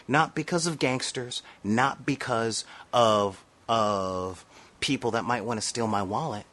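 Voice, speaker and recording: Middle-aged American man, medium pitch, earnest and emphatic, off-the-cuff opinion vlog delivery with repeated phrases for stress. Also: fairly bright tone. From South Central Los Angeles, United States. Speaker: vlogger. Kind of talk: unscripted opinion rant to camera.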